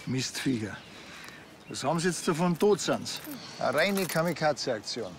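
Grumpy, sardonic Bavarian cop. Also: male voice, on the high side, big pitch swings, moderate pace, a little hiss or hum. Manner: dry, laconic, colloquial. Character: grumpy, sardonic male village policeman.

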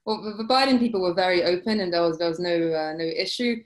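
A woman in her forties with a British accent, answering interview questions over a video call, halting with many restarts. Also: lowish voice. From UK and France. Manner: hesitant, many restarts and fillers. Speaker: guest, journalist. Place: indoor room, video call. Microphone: laptop webcam mic.

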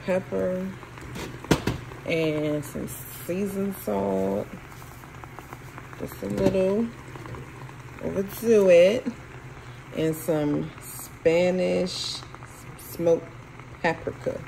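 A woman's voice: on the low side, very slow, vocal fry, pauses often, mellow, slight background noise, low-quality recording. A woman vlogger, chatting casually to herself and her viewers while cooking in her home kitchen.

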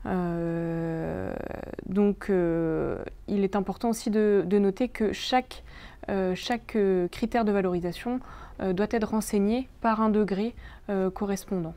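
A young adult woman, explaining slides in a webinar in a hesitant, halting way. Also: medium pitch, steady tone, dark tone. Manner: hesitant, halting delivery with frequent pauses. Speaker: presenter explaining labour agreement changes. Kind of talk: webinar slide walkthrough, semi-improvised explanation.

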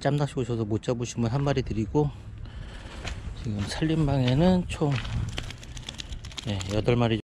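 A man's voice: mid-range voice, normal speed, pauses often, mellow. Middle-aged man chatting over outdoor noise. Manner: chatty, filler-heavy, frequent "예". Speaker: fishing vlogger. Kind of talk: casual fishing commentary. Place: outdoors at a seaside fishing pond.

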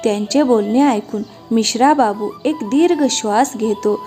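A woman's voice: on the high side, a little slow, mellow. A woman reading scripted narration for a cartoon moral story. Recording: music behind the voice.

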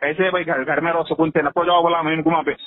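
Male caller, Hyderabadi accent. Rapid, high voice, insistent and coarse-tongued, over a phone. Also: level tone, dry recording. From Hyderabad, India. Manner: insistent, repetitive, swearing. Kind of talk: heated informal phone conversation. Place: telephone call, played in a news upload. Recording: tinny phone call recording.

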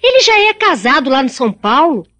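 A woman's voice: high voice, very animated, fairly quick, sharp tone, exaggerated voice. A woman playing a stubborn, teasing young rural daughter in a radio drama, with a Brazilian accent. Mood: stubborn, exasperated.

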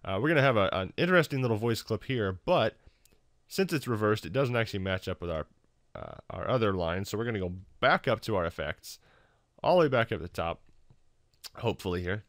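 A man hosting a casual software tutorial in an informal, self-deprecating, hesitant way. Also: fairly low pitch, lively intonation, a little fast, no echo, studio quality. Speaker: tutorial host.

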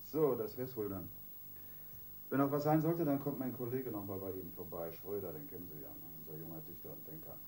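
An actor playing an officious, chatty police detective with a formal, faintly sardonic manner in a radio crime drama. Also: male voice, low-pitched, fairly quick, dark tone, slight background noise, close to an everyday voice. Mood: businesslike, wry. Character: officious, wry police detective wrapping up paperwork.

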